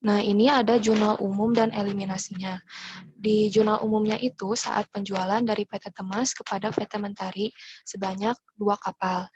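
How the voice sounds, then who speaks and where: A woman's voice: medium pitch, level tone, normal speed, a little mellow, husky, dull audio. A young woman explaining material as a student presenter in a class presentation. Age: young adult.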